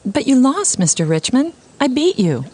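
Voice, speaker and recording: Sarcastic young cartoon rival, sassy, kinda high voice, bouncy pitch. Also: female voice, sing-song, normal speed, warm tone, slightly rough, heavily acted. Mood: confident, teasing. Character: spirited, sarcastic young female ping pong rival. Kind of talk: animated comedy dialogue.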